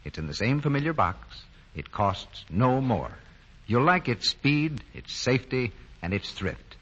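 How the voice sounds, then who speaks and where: Male announcer, American, swooping intonation, husky, emphatic, vintage muffled radio ad. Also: medium pitch, very animated, measured pace, fairly bright tone. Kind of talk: 1940s scripted radio advertising. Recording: dull old 1940 radio broadcast.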